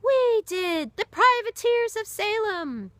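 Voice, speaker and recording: A woman's voice: very high-pitched, sing-song, takes it slowly, fairly bright tone, dry recording, voice pushed up, full character voice. A woman voicing a big, rowdy male sailor who bellows questions to a cheering crowd in a read-aloud story. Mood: roaring. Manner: bellowing, rousing.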